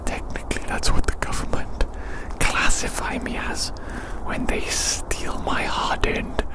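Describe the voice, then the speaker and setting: An ASMR YouTuber, whispering a rambling monologue in a breathy, unvoiced voice and softly repeating phrases. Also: a little slow. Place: outdoors in winter woods.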